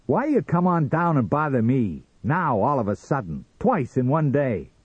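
Man acting out a loud, brash hothead, pitched up. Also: very animated, normal speed, slightly rough, dry recording, lo-fi sound. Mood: angry, belligerent. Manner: loud, belligerent, blustering. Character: brash, boastful, hot-tempered American male hot dog stand owner. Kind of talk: dramatised audiobook character reading.

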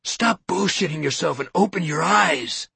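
A man reading as a determined, forceful man pleading and threatening. The voice is husky with a gravelly edge, close and dry. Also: a little high, sing-song, a little slow, no echo, full character voice. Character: determined, forceful grown man confronting a coward.

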